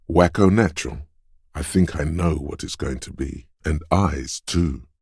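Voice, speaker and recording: A watchful inner voice of reflex and wit for a video game. It is exceptionally deep and sits in a very dry, close space. The narration is deliberate and dramatic, addressed straight to the detective whose head it lives in. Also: male voice, darkish tone, dry recording, full character voice. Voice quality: very deep, smooth, resonant.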